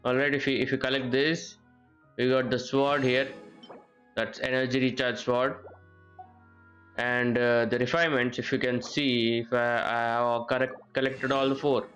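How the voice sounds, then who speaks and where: A man's voice: mid-range voice, flat delivery, normal speed, a bit bright. A man streams a video game, talking casually as he goes. He rambles and thinks aloud, often repeating his words, with an ordinary mid-range voice. Game music plays behind him. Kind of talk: casual live gameplay commentary. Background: game music and character voices.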